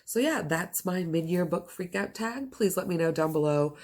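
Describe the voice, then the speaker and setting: Low-pitched woman, gushing casual book chat. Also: expressive, normal speed, darkish tone. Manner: gushing, enthusiastic, emphatic. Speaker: book reviewer and video host.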